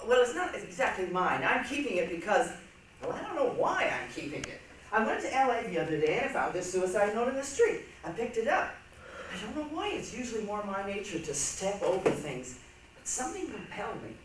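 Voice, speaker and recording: Woman, theatrical monologue, expressive comic timing, wide pitch swings, distant reverberant hall, faint noise. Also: a little fast. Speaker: reader's theatre performer. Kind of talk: dramatic monologue and recitation.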